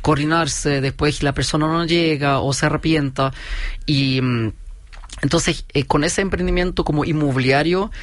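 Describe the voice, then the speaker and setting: A man in his forties with a German-Chilean accent, a company founder calmly explaining his business point by point in an interview. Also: a little high, normal speed, no echo. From Chile, raised in Germany. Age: 40s. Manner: steady, methodical, explains point by point.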